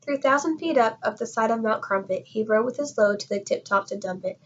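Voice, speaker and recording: A woman reading a rhyming children's story aloud with expressive, dramatic, rhythmic phrasing. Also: fairly high pitch, a little fast, highs cut off, slight background noise. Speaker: storybook reader.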